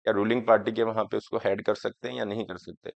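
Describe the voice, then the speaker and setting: A man in his thirties teaching an exam prep class, with a hoarse throat from a cold, slowly explaining each point and checking that students follow. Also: on the low side, level tone, dry recording. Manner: explaining slowly, often asking students if it is clear. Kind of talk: lecture on current affairs, Hindi mixed with English. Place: studio classroom, in front of a screen. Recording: dry, slightly dull sound.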